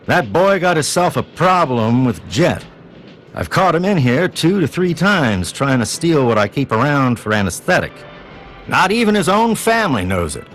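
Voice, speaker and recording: Music plays behind a gruff video game doctor in a wasteland town. He is curt, grumbling and blunt, and his pitch swings widely as he talks. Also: a bit bright. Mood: grudging concern. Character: gruff, grudging town doctor in a post-apocalyptic settlement. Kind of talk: video game character dialogue.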